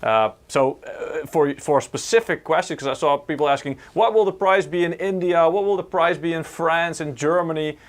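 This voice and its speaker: A man's voice: fairly high pitch, moderate pace, few pauses. A man co-hosting a live stream, explaining at length in a casual, hedging way with many fillers. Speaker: co-host.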